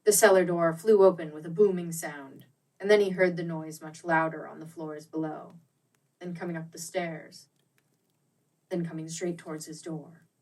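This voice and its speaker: Low-voiced woman reading a storybook, slightly distant. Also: fairly even intonation, moderate pace, darkish tone. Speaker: audiobook reader. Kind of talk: dramatic storybook narration of a classic ghost tale.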